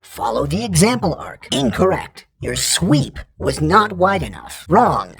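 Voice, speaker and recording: Gravelly, raspy robotic training droid. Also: male voice, high voice, wildly swooping pitch, unhurried, a bit bright, heavily acted. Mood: correcting, stern. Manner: terse, formal, imperative, emotionless correction. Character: precise, stern male training droid instructor. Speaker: combat training instructor. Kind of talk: clipped scripted game tutorial instructions.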